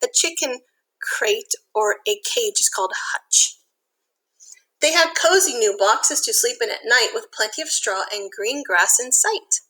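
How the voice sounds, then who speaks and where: A woman reading a picture book aloud to young children, expressive, with simple explanatory asides. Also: a little high, measured pace, poor audio.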